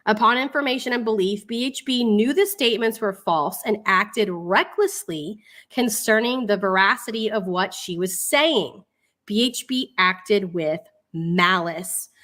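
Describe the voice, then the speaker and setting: Female livestream host, medium pitch, informal and wry, able to switch between formal read-aloud and mocking casual commentary. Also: a little slow, fairly bright tone. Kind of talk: live online commentary, reading a legal document aloud with casual asides.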